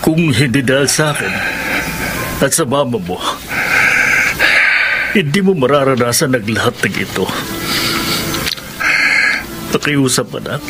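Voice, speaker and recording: A man with a Filipino accent playing a remorseful, gently advising father in a radio drama, speaking in an earnest, measured, pleading way. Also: mid-range voice, lively intonation, heavy background noise, close to an everyday voice. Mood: sorrowful, pleading. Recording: radio drama with loud music underneath.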